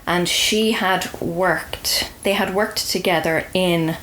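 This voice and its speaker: A woman's voice: fairly low pitch, steady tone, slow, fairly bright tone, slight background noise, rough recording. An Irish woman telling a personal story as a podcast guest, in a casual, wry and understated conversational way.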